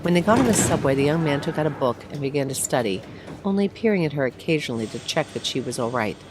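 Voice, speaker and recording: Low-pitched woman narrating a story. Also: animated, fast talker. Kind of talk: scripted short-story narration, read aloud. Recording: faint background noise.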